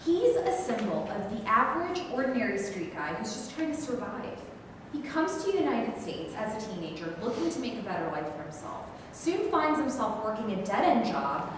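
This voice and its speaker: An earnest, persuasive woman sportswriter, read by an actress. She sounds far from the camera in a big echoey rehearsal room, with a long reverb and clear hiss behind her. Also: on the high side, big pitch swings, normal speed. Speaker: actor in a staged reading. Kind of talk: staged reading. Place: large rehearsal studio.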